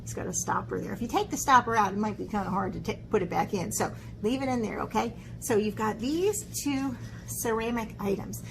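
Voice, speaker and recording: A woman's voice: medium pitch, a little fast, vocal fry, noisy background. A woman talking children through an art lesson as teacher and host, chatty and encouraging, often checking in with short questions. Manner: encouraging, chatty, frequent check-in tags like "okay?". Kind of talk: instructional video talk to children, with read-aloud.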